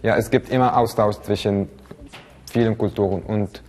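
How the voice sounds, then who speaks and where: A man's voice: on the low side, fairly even intonation, normal speed, a little mellow. A man of about twenty with an Egyptian accent, introducing himself. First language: Arabic. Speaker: student contestant. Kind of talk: casual self-introduction. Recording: music bed underneath.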